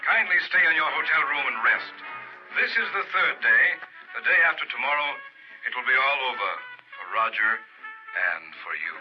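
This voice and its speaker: An American actor playing a pompous, scheming carnival professor in old-time radio horror, with grandiloquent, commanding patter. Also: male voice, mid-range voice, animated, moderate pace, close to an everyday voice. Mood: impatient, controlling. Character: pompous, scheming carnival showman professor burying a man alive. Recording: muffled vintage radio recording with music.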